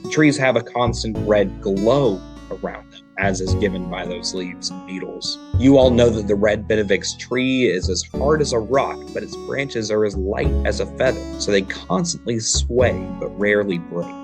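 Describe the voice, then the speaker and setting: A man narrating a tabletop game as game master, describing the scene at a steady pace. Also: lowish voice, normal speed, few pauses. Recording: guitar music playing behind.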